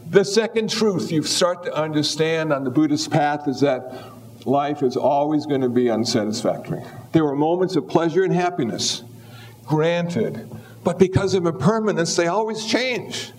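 Older man, 70s, Buddhist teacher; relaxed, self-mocking delivery, slightly higher-than-average voice. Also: moderate pace. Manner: easygoing, wry, acts out little voices. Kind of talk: humorous dharma talk.

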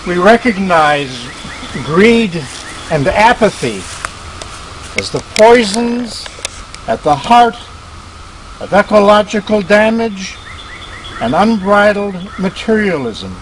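A man with a high, very melodic voice reads a statement solemnly. He goes slowly, in short deliberate phrases, outdoors with birds and faint ambient noise behind him. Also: animated, low-quality recording. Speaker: committee member reading a statement. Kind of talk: solemn ceremonial reading. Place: outdoors at a rural hermitage.

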